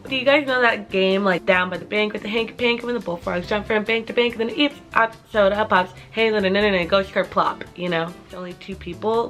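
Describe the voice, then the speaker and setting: Woman, 20s, vlogger. Casual rambling Q&A to camera, heavy on fillers, mid pitch, light music bed. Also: fairly bright tone. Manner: chatty, rambling with many "like" fillers.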